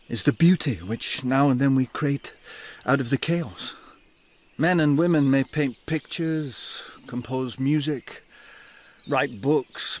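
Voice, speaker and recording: An actor playing a world-weary, kindly colonial customs man in a radio drama, reflective and measured. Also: medium pitch, lightly acted.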